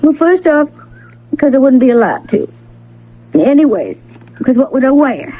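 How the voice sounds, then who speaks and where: A young frontier ranch woman, coming through a tinny old radio broadcast. Her voice is high and breathy, with a rustic Western American drawl. She is plainspoken, wary and wistful, in classic radio drama style. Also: sing-song, normal speed. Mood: hesitant, downcast. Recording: phone-like old radio broadcast, faint music.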